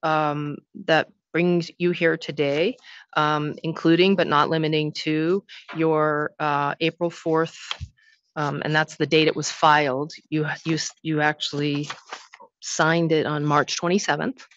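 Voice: medium pitch, little pitch movement, measured pace, fairly bright tone, dull audio. A commission chair laying out the rules of a hearing to an applicant in an explanatory, reassuring way, with many ums. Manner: explanatory, reassuring, filler-heavy. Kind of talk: formal hearing procedure.

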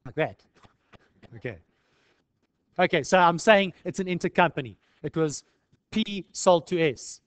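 A man's voice: a little high, lively intonation, unhurried, long pauses, dry recording. A South African man lecturing in an informal tutorial style, conversational and peppered with rhetorical questions. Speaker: accounting lecturer.

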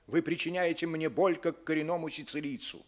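An actor playing an unctuous, pompous Sicilian newspaper editor in a 1960s radio drama, florid and pleading with veiled menace. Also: male voice, a little high, brisk, lightly acted. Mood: wounded, pompous. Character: unctuous, indignant Sicilian newspaper editor defending a mafia boss.

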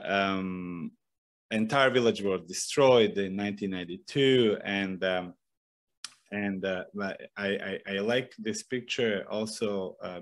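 A middle-aged man with a Bosnian accent, giving an informal presentation into a desk microphone in a halting, hesitant way. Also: sits low. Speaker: professor and guest speaker. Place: small indoor room. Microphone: desk broadcast microphone on a boom arm.

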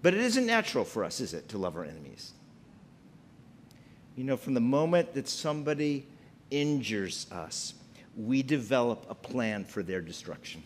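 A middle-aged man delivers a sermon in a church sanctuary, his voice rising and falling in lively swings as he presses his points. He speaks at a medium pitch through a pulpit mic. A faint low rumble sits under his voice. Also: moderate pace. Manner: preaching with wide pitch swings, emphatic and gesturing. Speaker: pastor.

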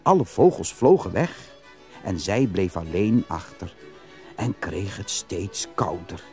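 A man narrating a fairytale as a storyteller in an expressive, scripted style. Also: low-pitched, takes it slowly. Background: music.

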